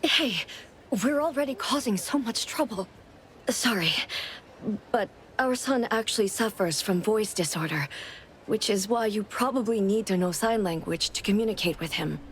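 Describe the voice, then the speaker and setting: A woman's voice: mid-range voice, normal speed, slight background noise, close to an everyday voice. Woman acting as distressed, pleading young boy's mother. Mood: apologetic. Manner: worried, earnest, apologetic. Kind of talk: video game cutscene voice acting. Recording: background music under the voice.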